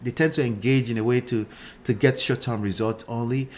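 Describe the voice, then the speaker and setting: A man's voice: medium pitch, fairly even intonation, brisk, a little mellow, a little hiss or hum. A man in his forties with a Nigerian accent hosts a live show, giving casual commentary and often checking in with short tags like "right" and "okay". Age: 40s.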